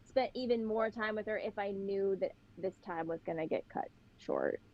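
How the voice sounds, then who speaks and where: A young woman with a Pittsburgh accent talks as a podcast guest, telling stories in an animated, chatty way. Her voice sits at a middling pitch. The recording is a little dull and lo-fi. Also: normal speed. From Pittsburgh, Western Pennsylvania. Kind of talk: casual chat. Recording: slightly dull, lo-fi sound.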